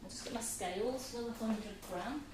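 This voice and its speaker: A woman's voice: on the high side, a little fast. Chatty Mancunian woman in an echoey kitchen. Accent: Manchester. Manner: chatty, talks through each step as she works. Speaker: baker demonstrating a recipe. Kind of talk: live cooking demonstration. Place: home-style kitchen. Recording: echoey kitchen with background hum.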